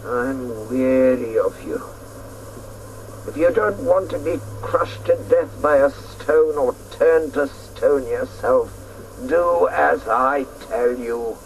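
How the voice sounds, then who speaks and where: A woman's voice: a bass voice, slow pace, heavily acted. An actress playing a cruel, commanding old witch-grandmother in a radio drama, speaking with scorn. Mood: weary threat. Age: older. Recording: faintly noisy radio drama recording.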